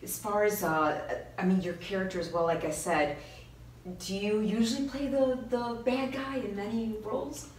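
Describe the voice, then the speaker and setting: A woman's voice: medium pitch, normal speed. A woman conducting a conversational entertainment interview as the interviewer. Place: small indoor room. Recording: echoey room, distant mic, faint noise.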